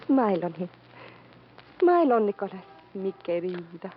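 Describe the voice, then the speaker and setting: A woman's voice: mid-range voice, very animated, a little hiss or hum, natural voice. A woman with a Spanish accent playing a grieving, proud matador's widow, formal and melodramatic, in radio drama. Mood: proud, mournful. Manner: formal, dramatic, deliberate phrasing. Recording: muffled old radio recording with music.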